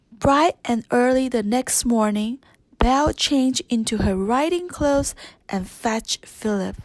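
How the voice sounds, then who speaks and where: A woman reading a children's read-along storybook as narrator, in an expressive storytelling manner. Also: a little high, dry recording.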